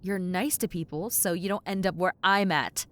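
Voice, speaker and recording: A woman's voice: on the low side, moderate pace, fairly bright tone, a little hiss or hum, close to an everyday voice. A woman voicing a brash tomboy succubus in a roleplay audio, sarcastic, incredulous and casually blunt. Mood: frank, advising. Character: brash tomboy succubus demon, blunt, sardonic, reluctantly helpful. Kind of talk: roleplay audio acting, conversational.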